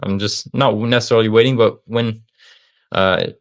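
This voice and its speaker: A man's voice: on the low side, steady tone, moderate pace. A young man of about twenty, a guest in a casual interview, talking in an upbeat, rambling way with many fillers and restarts. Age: young adult, about 20. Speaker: interview guest, student and online seller.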